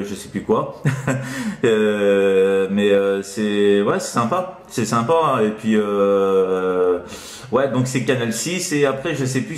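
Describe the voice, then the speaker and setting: A man chatting casually as a CB radio operator, in a hesitant, rambling, chuckling way. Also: on the low side. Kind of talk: casual radio contact chat. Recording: roomy, echoing room sound.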